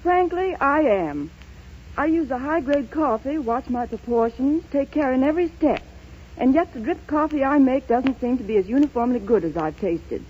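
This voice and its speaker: A woman's voice: sits high, lively intonation, moderate pace, husky. A woman playing an earnest, troubled housewife in a scripted 1930s radio advertisement. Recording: dull, noisy vintage 1930s radio recording.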